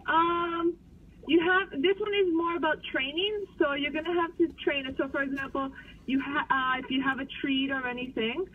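A woman's voice: sits high, fairly even intonation, normal speed, far from the mic, slight background noise. A woman patiently explaining things as a customer service worker on a phone call, heard tinny through a speaker.

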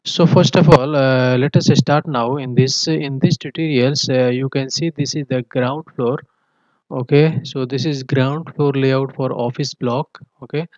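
A man giving a technical tutorial in a halting manner, often punctuating his explanations with a checking "okay". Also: medium pitch, fairly even intonation, darkish tone, dry recording. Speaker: engineering tutor. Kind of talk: tutorial walkthrough of technical drawings. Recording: dull, muffled lo-fi voice track.

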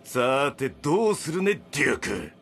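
Husky, smug submarine villain. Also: male voice, mid-range voice, very animated, a little slow, a bit bright. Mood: smug, gloating. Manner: commanding, theatrical, gloating. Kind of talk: Japanese audio drama acting.

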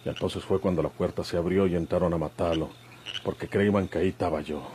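A man's voice: low-pitched, steady tone, fast talker, mellow, a little hiss or hum, close to an everyday voice. An actor playing a rugged, brooding outlaw father with a rural Mexican accent and rustic speech, in an old radio drama. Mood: grim, bitter. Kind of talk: Mexican golden-age radionovela acting.

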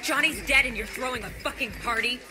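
An actress playing an angry, confrontational young gangster in a video game, with a sharp manner. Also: female voice, a little high, a little fast, music in the background, lightly acted. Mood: angry, disgusted. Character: angry, hot-tempered young female gangster. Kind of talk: video game cutscene acting.

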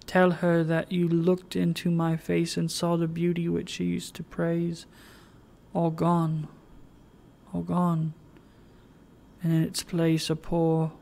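A reader voicing a sorrowful fallen young woman, speaking in a soft, imploring way. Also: mid-range voice, creaky.

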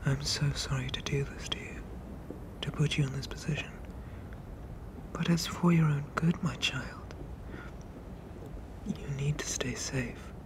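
A man voicing a protective, possessive sea monster father in a hushed, soothing ASMR roleplay with formal phrasing. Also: a little high, fairly even intonation, a little slow, pauses often, lightly acted, poor audio. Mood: pleading, possessive.

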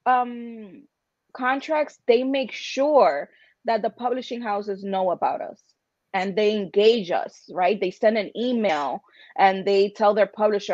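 A woman's voice: medium pitch, darkish tone, no echo. A middle-aged woman giving emphatic, passionate, conversational answers as an interview guest, often with rhetorical questions. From Dominican-American. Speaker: interview guest, writers' association founder. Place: indoors on a video call. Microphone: computer video-call microphone. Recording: dull, lo-fi video-call audio.

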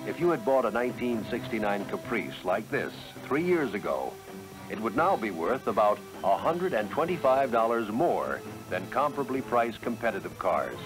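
An American man narrates a 1970s promotional film over clear background music. His medium-pitched voice moves with animated intonation, and he delivers the script as a polished, emphatic announcer. The sound is that of a vintage film soundtrack. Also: rough recording.

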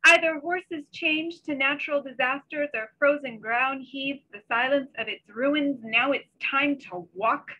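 Female poet, middle-aged, high forceful voice, unhurried delivery, slightly dull video-call sound. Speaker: poet reading own work. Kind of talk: poetry reading with informal introductions. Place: small bedroom at home. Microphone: computer mic on a video call.

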